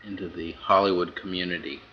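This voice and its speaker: A man casually asking questions as an interviewer in a relaxed, conversational style. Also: deep voice, brisk. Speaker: magazine interviewer. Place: at a table in a house. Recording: dull, faintly noisy interview tape.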